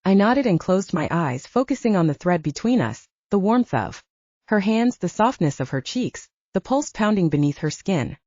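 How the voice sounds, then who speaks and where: A woman's voice: medium pitch, sing-song, moderate pace, darkish tone, dry recording, dull audio. A woman reading first-person fiction as an audiobook narrator.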